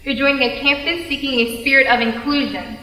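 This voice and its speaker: A young woman delivers scripted readers theater with a bright, fairly high voice. She is recorded in a hall with long reverb. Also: steady tone, fairly quick. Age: young adult. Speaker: student performer.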